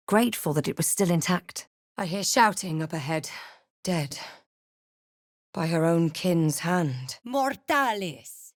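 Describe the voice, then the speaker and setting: A woman playing a wry, watchful fantasy adventurer hero in a video game, with a measured, dramatic delivery. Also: on the low side, no room sound, studio quality. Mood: commanding incantation. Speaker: player character in a fantasy role-playing game. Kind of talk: video game voice lines and narration.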